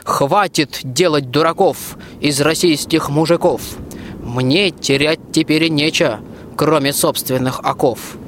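A man's voice: on the high side, measured pace, a bit bright, a little hiss or hum. A young man playing a bold, hearty soldier husband in a rhymed radio play. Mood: indignant. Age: young adult. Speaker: school student actor. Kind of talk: theatrical verse radio play.

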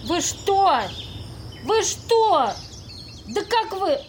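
A woman's voice: extremely high pitch, big pitch swings, extremely slow, a little hiss or hum, full character voice. A woman playing an exasperated, easily shocked woman in an amateur audio play. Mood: outraged.

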